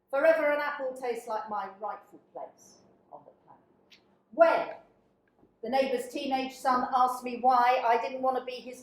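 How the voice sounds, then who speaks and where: A woman giving a deliberate, dramatic spoken-word reading, with emphatic phrase openings that fall away to quieter endings. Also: fairly high pitch. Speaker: dramaturge reading a participant's written piece. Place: echoey indoor workshop room. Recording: echoey room.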